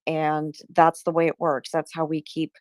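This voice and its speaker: Chatty woman guest with a low, smooth voice; dry close sound on a call. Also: monotone, normal speed, darkish tone, dry recording. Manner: chatty, laughs easily, trails off with fillers. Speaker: guest speaker. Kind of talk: casual chat on a live audio space.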